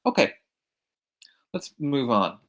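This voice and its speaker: A man from Ireland with an American-sounding accent teaching English vocabulary, repeating each word slowly and clearly for learners. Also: medium pitch, big pitch swings, fairly bright tone. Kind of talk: recorded vocabulary lesson.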